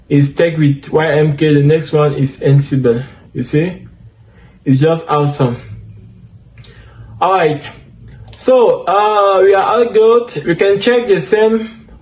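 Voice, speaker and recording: A French-native man walks viewers through a server setup tutorial with a fairly high voice. He speaks slowly, with plenty of fillers and self-corrections, and keeps calling each step awesome. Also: darkish tone, rough recording. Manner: unhurried, thinks aloud with many fillers and self-corrections; upbeat, keeps saying things are awesome. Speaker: tech tutorial host. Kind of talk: screen-recorded coding tutorial.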